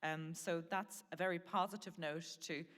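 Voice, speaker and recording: Low-voiced Irish woman moderating a panel. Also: steady tone, moderate pace, a bit bright. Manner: conversational, with hesitant fillers and restarts. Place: conference centre session room. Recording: long reverb of a large hall.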